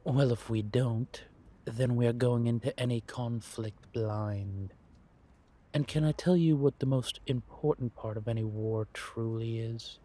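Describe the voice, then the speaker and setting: A man playing a centuries-old vampire spymaster in a roleplay audio drama, patient and wry, speaking in measured phrases with deliberate pauses. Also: medium pitch, fairly even intonation, warm tone, close to an everyday voice. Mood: reflective, instructive.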